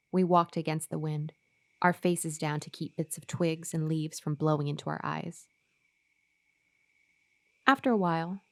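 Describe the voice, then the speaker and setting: An actress playing an uneasy, obedient woman who tells her eerie story in a measured way in a radio horror play. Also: low voice, creaky, no echo. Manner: measured, first-person storytelling.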